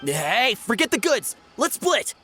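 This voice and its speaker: A man playing a skittish underling dealer in a video game, hesitant and stammering, quick to give up. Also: very high-pitched, sing-song, pushed and bright, soft background music, exaggerated voice. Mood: panicked.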